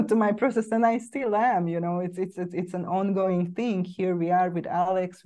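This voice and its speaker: A woman's voice: fairly low pitch, dark tone. A woman with a Hungarian accent talking conversationally and earnestly as a podcast host, often saying "you know". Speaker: podcast host and psychedelic integration coach.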